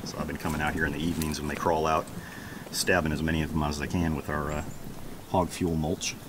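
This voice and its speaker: American male homesteading vlogger, middle-aged, extremely low voice, casual and rambling, noisy outdoor camera audio. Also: flat delivery, fast. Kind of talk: casual garden tour vlog. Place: outdoors in a backyard garden. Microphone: camera held at arm's length. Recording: outdoor handheld recording with background noise.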